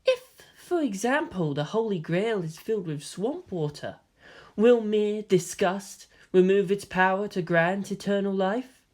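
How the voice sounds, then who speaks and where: A silky young male schemer, acted out by a story reader speaks softly and with condescension. His pitch swoops as he lectures, sounding smug and faintly inhuman in a dramatic fiction reading. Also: expressive, a little slow, lightly acted. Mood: smug persuasion.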